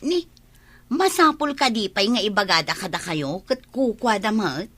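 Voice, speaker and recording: A woman's voice: mid-range voice, lively intonation, normal speed, fairly bright tone. A woman with a Filipino Ilocano accent playing a nosy, gossiping village neighbour in a radio drama. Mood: prying.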